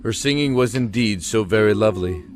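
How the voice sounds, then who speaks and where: A man playing a despairing, regretful prisoner in a dark cell, speaking haltingly and reflectively in a dramatic audio monologue. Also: lowish voice, natural voice. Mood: wistful. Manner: halting, reflective, emotive delivery. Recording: echoey, with music underneath.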